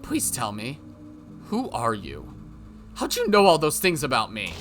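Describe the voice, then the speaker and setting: A man's voice: medium pitch, wildly swooping pitch, a little slow, a bit bright, soft background music. A man voicing a suspicious, frightened teenage girl in a visual novel, accusing and strained. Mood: accusing, cold. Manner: accusing, stammering, shrieking when cornered.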